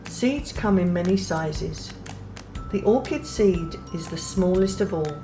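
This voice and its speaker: Slow, gentle woman reading a picture book. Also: fairly low pitch, expressive. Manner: gentle, unhurried reading with soft pauses. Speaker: children's book reader. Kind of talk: read-aloud storybook narration. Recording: read over clear background music.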